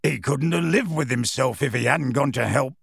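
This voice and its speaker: A man voicing a gruff, kindly giant groundskeeper in a storybook reading, with halting, folksy, colloquial speech. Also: medium pitch, no room sound, clean studio sound. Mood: soft, sombre.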